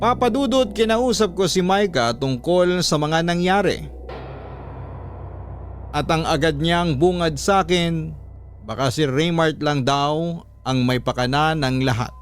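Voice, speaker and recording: A man's voice: fairly high pitch, a little slow, pauses often, lightly acted. A man with a Filipino accent giving a dramatic scripted narration of a story. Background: background music.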